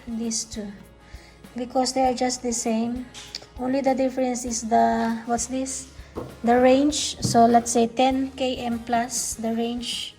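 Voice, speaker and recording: A woman's voice: on the high side, gravelly, warm tone. A Filipino-accented woman, native in Tagalog, giving a casual product demonstration, talking through each step in short fragments. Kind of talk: casual unboxing and testing demonstration.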